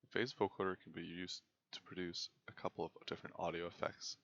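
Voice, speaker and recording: A young man giving scripted technical narration as a presenter, in a measured, formal way. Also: low-pitched, flat delivery, vocal fry, a bit bright, highs cut off, slight background noise. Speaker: presenter of a student software project.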